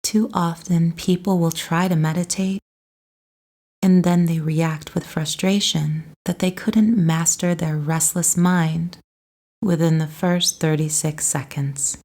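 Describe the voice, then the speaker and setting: Woman, guided meditation narrator, slightly low voice, very slow pace, gentle, soothing, scripted delivery. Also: fairly even intonation.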